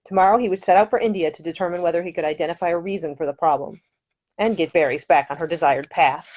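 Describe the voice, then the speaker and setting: A woman's voice: fairly low pitch, quick pace, a little mellow, poor audio. A woman reading her own historical novel aloud as scripted audiobook narration.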